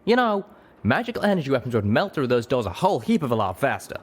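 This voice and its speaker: A man's voice: medium pitch, big pitch swings, quick pace, voice pushed up. A man voicing a cocky, good-natured male pegasus gunslinger with folksy cowboy talk. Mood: wry, upbeat.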